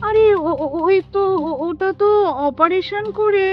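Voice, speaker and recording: A woman playing a brisk, polite young daughter-in-law in a radio drama, chirpy and placating as if keen to leave. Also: very high-pitched. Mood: teasing, flustered. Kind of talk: Bengali radio drama acting. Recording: dull lo-fi audio with music behind.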